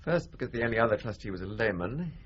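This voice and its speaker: An actor playing a pompous, guarded English solicitor in a radio drama, speaking with pedantic, formal precision. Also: male voice, lowish voice, lively intonation, quick pace, highs cut off, a little hiss or hum, close to an everyday voice. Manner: pedantic, haughty, formal enumeration. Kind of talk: 1980s radio drama acting.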